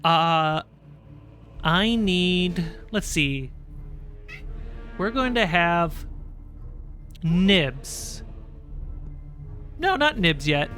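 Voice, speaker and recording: A game master tells the story of a livestreamed tabletop game. The delivery is slow and dramatic, with deliberate pauses, and music plays behind it. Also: medium pitch, sing-song, a bit bright.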